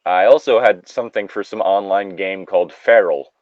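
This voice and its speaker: A man's voice: deep voice, moderate pace, dry recording, lo-fi sound. A man co-hosting a story reading, switching between narration and casual, joking asides. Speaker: co-host reading stories aloud.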